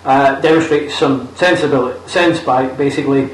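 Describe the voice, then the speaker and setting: A man's voice: medium pitch, fairly even intonation, normal speed, a little hiss or hum. A young man co-hosting a podcast, bluntly and mockingly recapping a film's plot with plenty of swearing. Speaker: podcast co-host. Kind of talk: casual film review chat. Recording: roomy, distant mic.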